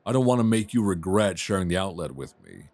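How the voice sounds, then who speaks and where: Romance lead played by a man: low, animated voice, flirty and self-deprecating, close dry binaural mic. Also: a little fast. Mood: flirty, sheepish. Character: friendly, flirtatious rough-and-tumble male traveller, a writer. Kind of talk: intimate ASMR romance roleplay. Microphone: close binaural microphone.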